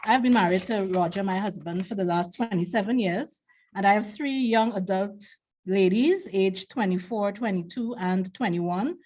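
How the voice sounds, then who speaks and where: Middle-aged trinidadian lady, mid voice, calm measured talk on a webcam. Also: mid-range voice, poor audio. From Trinidad and Tobago. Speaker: ENL instructional assistant. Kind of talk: conference presentation over video call. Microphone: webcam on video call.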